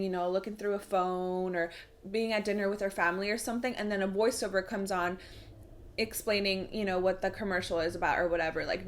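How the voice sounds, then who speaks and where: A woman's voice: medium pitch, a little hiss or hum. A young adult woman talking in a chatty, explanatory way, like a casual tutorial vlog from a video creator.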